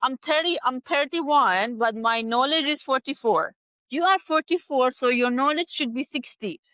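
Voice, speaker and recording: A woman's voice: sits high, normal speed, bright, forceful tone, dry recording. A woman in her thirties, English not her first language, co-hosting a casual chat with non-native grammar and repeated words as she searches for phrasing. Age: 30s. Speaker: podcast co-host.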